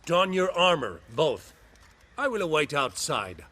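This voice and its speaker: A haughty imperial general in a fantasy game. His voice is bright and a little high, with sweeping intonation, giving clipped formal orders full of disdain. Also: very animated, unhurried, slight background noise, exaggerated voice. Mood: commanding. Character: haughty, imperious male general of an invading empire. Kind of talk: fantasy video game acting.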